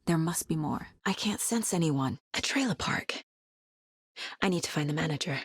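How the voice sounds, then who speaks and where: A woman playing a focused, intuitive female FBI agent in a video game, speaking in a measured, introspective and intense way. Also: deep voice, lively intonation, husky, no room sound, clean studio sound, heavily acted. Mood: focused, pensive. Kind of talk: video game voice acting, investigative monologue.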